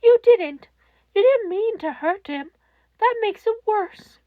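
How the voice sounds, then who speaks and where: A woman voicing a small, stern old mouse principal in a fan-fiction reading, cold, polite and cutting as he makes deliberate accusations. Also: extremely high pitch, lively intonation, dry recording, phone-quality sound, voice pushed up, full character voice. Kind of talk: dramatic audiobook-style fan fiction character reading.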